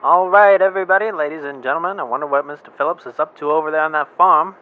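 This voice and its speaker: Male actor as casual studio announcer: fairly high, chatty, tinny phone-like sound. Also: fast talker, faint music behind, natural voice. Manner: casual, chummy presenting. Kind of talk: amateur radio drama acting.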